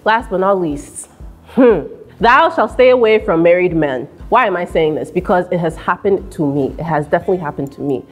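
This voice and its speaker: A woman in her twenties with an American accent, giving sassy, animated advice straight to camera in an indoor room. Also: a little fast, dull audio. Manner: sassy and animated, mimics voices in high squeals. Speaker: vlogger. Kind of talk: direct-to-camera advice vlog. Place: indoor room with painting behind.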